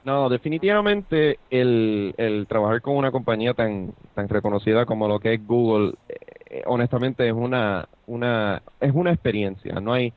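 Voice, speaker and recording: A man's voice: medium pitch, moderate pace, no echo. Puerto rican guy, casual podcast chat, lots of ums, kinda muffled dry sound. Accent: Puerto Rican Spanish. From Puerto Rico. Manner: hesitant, many fillers and restarts. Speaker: podcast co-host. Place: Buenos Aires, Argentina.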